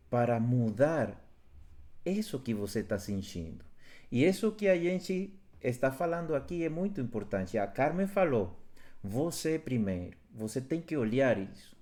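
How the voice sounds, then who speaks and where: A man's voice: medium pitch, very animated, measured pace, a little mellow. A man facilitator on a live stream, speaking earnestly about love and self-awareness and repeating phrases for emphasis. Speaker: workshop facilitator. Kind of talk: live stream talk on relationships. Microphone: live stream from home.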